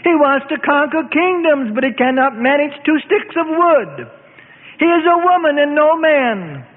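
A man's voice: sing-song, measured pace, full character voice. A man voicing a scornful chief priest in a dramatized story, jeering in a raised, sneering voice. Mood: mocking. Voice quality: high sneering voice. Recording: tinny phone-like sound with long reverb.

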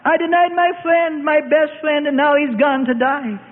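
A man's voice: very high-pitched, fairly even intonation, normal speed, voice pushed up. A man playing an anguished, frightened fisherman disciple, desperate and pleading, in a dramatic religious story. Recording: tinny phone-like radio sound, long reverb.

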